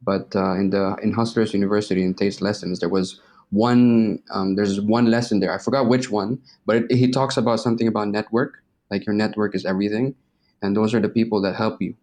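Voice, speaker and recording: Low-pitched young man, casual and slangy. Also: fairly even intonation, a little fast, a little mellow. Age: 20s. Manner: informal, slangy, swearing. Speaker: interview guest. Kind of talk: casual interview chat.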